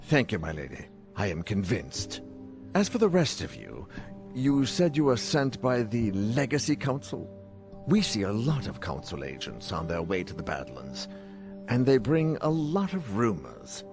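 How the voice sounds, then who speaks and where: Deep-voiced, formal town lord, animated. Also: male voice, a little slow, over music, full character voice. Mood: grave, courteous. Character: formal noble lord governing a frontier town. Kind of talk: video game cutscene acting.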